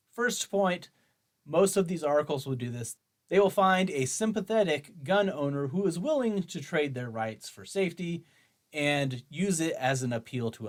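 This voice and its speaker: Male commentary host, slightly high voice, argumentative and mocking as he reads and reacts. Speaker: host of a commentary show on guns. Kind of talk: opinion commentary, reading an article aloud and reacting.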